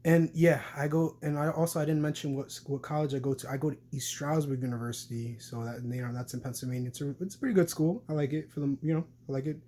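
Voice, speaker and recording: A man's voice: on the high side, fairly even intonation, fast, few pauses, dark tone, slight background noise. A young man, talking casually to camera in a rambling way with many fillers and restarts. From New York City. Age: young adult. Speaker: vlogger.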